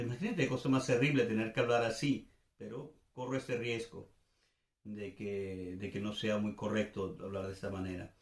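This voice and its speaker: An older man from Venezuela with a Venezuelan accent, giving informal commentary off the cuff, searching for words and repeating phrases for emphasis. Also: medium pitch. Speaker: commentator and host. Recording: echoey, reverberant room.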